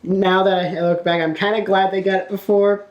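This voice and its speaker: Fast-talking woman in an echoey room. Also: mid-range voice, little pitch movement, darkish tone, highs cut off. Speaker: podcast co-host. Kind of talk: casual wrestling podcast chat.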